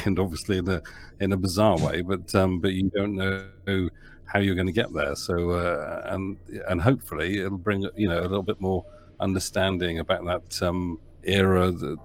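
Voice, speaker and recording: Deep-voiced man, rambling podcast guest. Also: a little hiss or hum. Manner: rambling, many restarts and fillers. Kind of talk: podcast interview answer.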